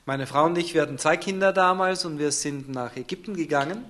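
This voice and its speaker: A man's voice: fairly high pitch, fairly quick, a bit bright. A man interpreting a sermon live, turning each short phrase into a fuller sentence right after the speaker.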